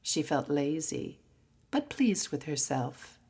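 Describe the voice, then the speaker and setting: A woman narrating a literary short story in an expressive, dramatic storytelling manner. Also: low-pitched, slow pace, breathy edge. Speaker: story reader.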